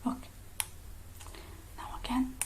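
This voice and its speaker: A woman speaking in a breathy, mostly unvoiced whisper, gentle and hushed with many pauses, as in a close-miked ASMR roleplay. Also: background noise. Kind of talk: whispered ASMR roleplay, one-sided personal attention.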